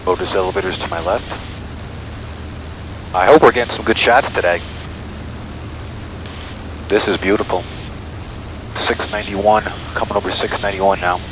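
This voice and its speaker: A man's voice: low-pitched, steady tone, moderate pace, pauses often. A man piloting a small plane, talking in clipped radio calls and casual cockpit self-narration into a headset. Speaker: private pilot and aviation vlogger. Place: cockpit of a small airplane in flight. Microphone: aviation headset. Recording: loud small-airplane cabin noise.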